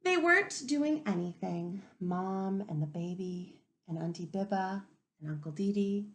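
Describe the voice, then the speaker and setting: Animated woman reading to young children. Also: mid-range voice, unhurried. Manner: animated, instructive, asking the listeners questions. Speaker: kindergarten teacher reading aloud. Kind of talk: expressive picture-book read-aloud for young children.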